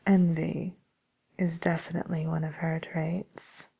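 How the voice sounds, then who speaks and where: A woman playing a polite, tactful matchmaker for villains in an ASMR roleplay, with a soft, whispery voice and hesitant, courteous service patter. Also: deep voice, flat delivery, a little slow, creaky, husky, exaggerated voice. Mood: tactful, confiding.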